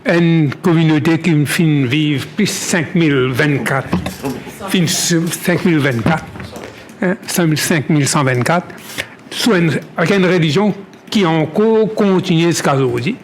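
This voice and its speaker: A man talks in a halting, repetitive way, circling back on his words as he explains himself. His voice sits a little high, and a faint hiss runs under it. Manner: halting, repeats words and restarts often. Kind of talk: spontaneous testimony in creole-tinged French.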